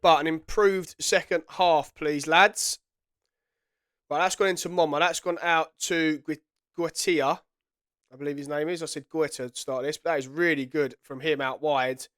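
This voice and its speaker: A man's voice: a little high, fairly even intonation, normal speed, a bit bright, dry recording, studio quality. Man with a head cold, chatty game commentary. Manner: chatty, self-correcting, muttering asides. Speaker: gaming YouTuber. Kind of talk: casual gameplay commentary.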